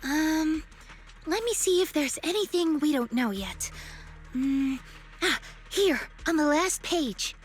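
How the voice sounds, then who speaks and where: An actress playing a curious, gentle, girlish companion in a video game story. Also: high-pitched, animated, slow, faint music behind. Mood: searching.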